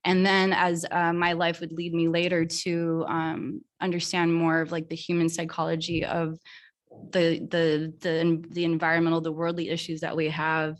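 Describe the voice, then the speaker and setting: A young woman speaking earnestly on a panel, with many pauses and restarts. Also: deep voice, monotone. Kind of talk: casual panel talk.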